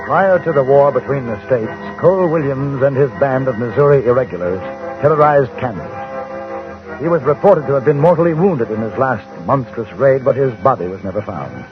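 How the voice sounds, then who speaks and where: An actor playing a composed newspaper correspondent in the Old West, grave and measured, in 1950s radio drama style. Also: male voice, mid-range voice, animated, lightly acted. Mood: grave, reflective. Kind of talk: 1950s radio drama, first-person narration. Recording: muffled old radio recording with music.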